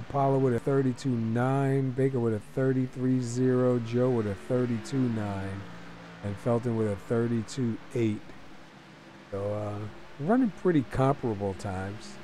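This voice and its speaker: A man doing play-by-play for a racing league, his voice worn hoarse by a cold. He talks in a relaxed, conversational way with lots of fillers, and clear car and engine noise runs underneath him. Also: mid-range voice. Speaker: race commentator. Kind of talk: sim racing play-by-play commentary.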